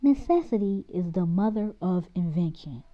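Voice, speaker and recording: A woman's voice: on the low side, lively intonation, moderate pace, natural voice. Storybook mother, read by a woman, instructive, supportive, firm, dull home recording. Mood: encouraging, instructive.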